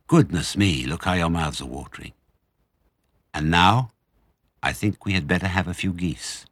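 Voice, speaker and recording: A man's voice: sits low, moderate pace, a bit bright, no echo. A man doing a sly, jovial fox father. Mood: delighted, generous. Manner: theatrical, coaxing, self-assured. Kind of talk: audiobook character reading.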